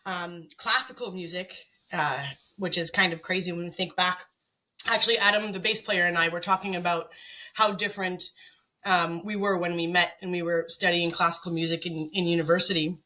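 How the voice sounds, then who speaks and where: A woman singer chatting as a guest on an interview show, telling stories in an animated, chatty way. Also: fairly low pitch, moderate pace, rough recording. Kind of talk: casual interview chat.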